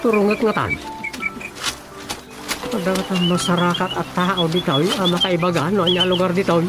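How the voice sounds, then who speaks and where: A woman's voice: lowish voice, fairly even intonation, moderate pace, lightly acted. A woman playing a bewildered jungle wanderer in a comic radio drama, with an Ilocano accent. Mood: musing. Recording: radio drama under loud music.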